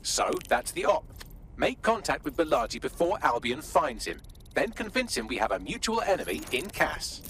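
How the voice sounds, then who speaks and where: Bright, sardonic briefing man. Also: a little high, big pitch swings, normal speed, a little hiss or hum. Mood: brisk, informative. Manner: sardonic, articulate briefing delivery. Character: wry, sardonic male intelligence briefer, lively and sharp. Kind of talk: video game cutscene acting, mission briefing.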